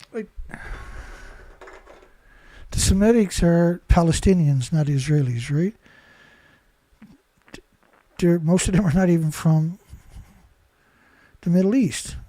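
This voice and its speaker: Male commentator, rambling and indignant, dark tone, dry close sound. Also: fairly high pitch, a little slow, long pauses, no echo, rough recording. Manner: rambling, indignant, many restarts and "right?" tags. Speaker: commentator reading news. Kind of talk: casual monologue reading headlines with commentary.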